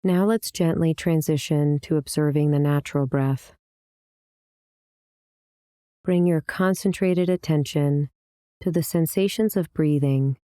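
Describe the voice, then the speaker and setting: A woman leading a guided meditation as the teacher, speaking in a calm, measured, gently instructing manner. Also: sits low, clean tone, no echo, studio quality. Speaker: meditation teacher, series host.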